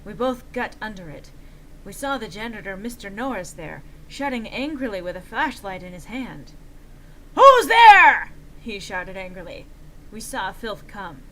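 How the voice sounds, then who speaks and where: A woman's voice: medium pitch, expressive, moderate pace, slight background noise, poor audio. A woman giving a theatrical, exaggerated, mock-earnest dramatic reading of fan fiction as narrator.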